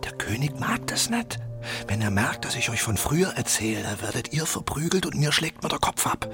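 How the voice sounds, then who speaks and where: An actor playing a small, stout, learned royal tutor, formal, pedantic and cautious, in a children's radio play. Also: male voice, medium pitch, sing-song, moderate pace. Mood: secretive, nervous. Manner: formal, pedantic, nervously hesitant. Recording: music under the voice.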